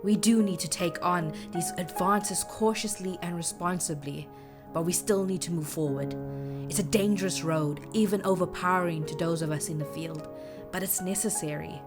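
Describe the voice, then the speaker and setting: A woman's voice: lowish voice, normal speed, close to an everyday voice. A woman voicing an earnest, sensible colleague of a scientist in a comic dub, firm and formal. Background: prominent music.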